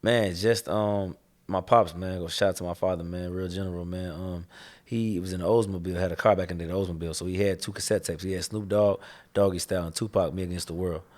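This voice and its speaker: A man's voice: deep voice, fairly even intonation, fast talker, darkish tone. A young man from the Chicago area, a rapper talking casually in an interview, laid-back and slangy, often saying "you know what I'm saying". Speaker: rapper guest.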